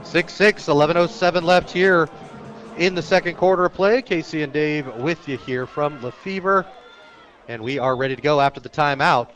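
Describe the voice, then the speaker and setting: Guy doing live football play by play on radio, kinda high voice, short punchy phrases. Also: moderate pace, faint music behind. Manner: clipped descriptive phrases, excited on big plays. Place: outdoor stadium, live radio broadcast.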